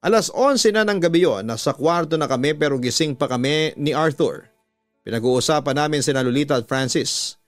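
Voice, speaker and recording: A man with a Filipino accent narrating a scripted story as a radio host, measured and deliberate, drawing out the ends of phrases. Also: on the high side, no room sound. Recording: background music under a dry studio voice. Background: music.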